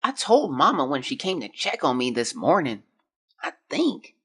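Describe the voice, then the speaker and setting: An actor performs a sick young child in an audio drama, speaking a diary aloud. The voice is husky and bright, swinging widely in pitch. The delivery is plaintive, hesitant and frightened. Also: very animated, moderate pace. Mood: anxious, confused.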